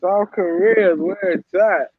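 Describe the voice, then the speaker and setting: A man's voice: high voice, a little slow, slightly rough, phone-quality sound. A man reacting and laughing along in a casual chat. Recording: noisy background.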